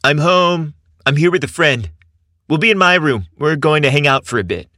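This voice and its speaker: A man's voice: fairly high pitch, expressive, moderate pace, sharp tone, dry recording, studio quality, pitched above the performer's own voice. A man voicing a friendly, eager young man with a casual, informal manner in a story. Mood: calling out.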